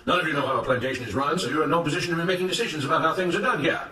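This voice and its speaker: A man voicing a smooth-talking, self-righteous plantation overseer in a storybook, speaking in a declamatory, emphatic way. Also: a little high, very fast, pushed and bright, reverberant, recorded from a distance, pitched above the performer's own voice. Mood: indignant, lecturing. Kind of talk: dramatised storybook narration.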